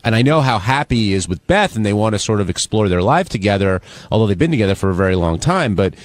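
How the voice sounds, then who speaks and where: A man's voice: lowish voice, animated, fast talker, no room sound. A man hosting a radio talk show, moderating the discussion and searching for his words as he goes. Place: radio studio. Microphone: close studio microphone.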